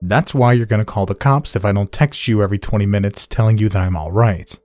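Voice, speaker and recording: A man playing a cocky, loudmouthed veteran newspaper chief in an audio drama, brash, sardonic and dismissive. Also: fairly low pitch, fast, dry recording, close to an everyday voice. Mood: firm. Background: music bed.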